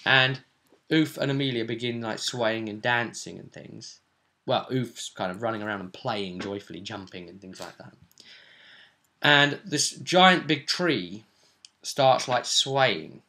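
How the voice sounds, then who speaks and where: A man's voice: on the low side, expressive, a little slow, creaky, pushed and bright. A man giving a casual, rambling recap of a tabletop role-playing session as the game master.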